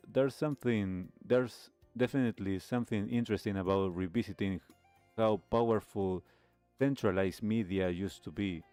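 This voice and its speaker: A man hosting a talk show, giving commentary on a video in measured, hesitant phrasing. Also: on the low side, a little mellow, clean tone, no echo. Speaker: host of a nostalgia talk show.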